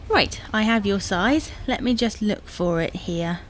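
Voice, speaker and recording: An actress playing a bossy, exasperated, teasing wife in a domestic comedy audio drama, brisk and dismissive. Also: female voice, medium pitch, expressive, a little hiss or hum, natural voice.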